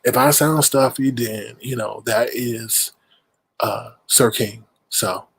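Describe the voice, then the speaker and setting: A man's voice: mid-range voice, fairly even intonation, slow pace, creaky, a bit bright, breathy edge, no room sound. Stuffy-nosed man hosting a livestream. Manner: rambling, greets chatters mid-sentence. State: stuffed-up nose. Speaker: livestream host. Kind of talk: casual livestream talk to chat.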